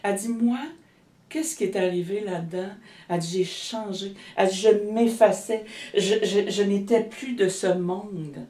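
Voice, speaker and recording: A woman's voice: medium pitch, fairly even intonation, moderate pace, warm tone, distant mic. A woman with a Québécois French accent speaks as a healer in a casual interview. She rambles and restarts her sentences. The room is echoey and she sits back from the mic. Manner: rambling, many restarts and fillers. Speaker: energy healer guest.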